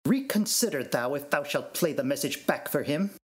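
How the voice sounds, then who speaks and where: A man's voice: on the high side, lively intonation, normal speed, natural voice. A man acts out an anxious, officious robot servant. The intonation leaps about animatedly, and the phrasing is formal and theatrical, as in a Shakespearean drama reading.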